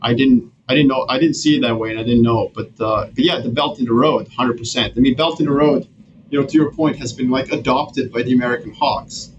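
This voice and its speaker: A man from Serbia, a guest on a podcast, talking in an animated, slangy way with many restarts. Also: mid-range voice, a little fast, lo-fi sound, background noise. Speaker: guest strategist. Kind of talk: casual podcast conversation.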